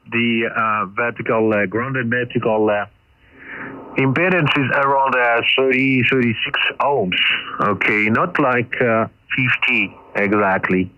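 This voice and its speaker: Over a ham radio link, a man who is not a native English speaker talks haltingly, searching for words and repeating himself. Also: medium pitch, bright, forceful tone. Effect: ham radio transmission. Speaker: amateur radio operator. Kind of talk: casual radio conversation. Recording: muffled, band-limited shortwave radio signal.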